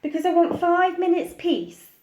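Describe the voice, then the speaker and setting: A woman's voice: very high-pitched, expressive, normal speed, darkish tone, voice pushed up. A woman voicing a weary, exasperated mother in a children's storybook, firm and put-upon, in an echoey room. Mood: exasperated, resigned.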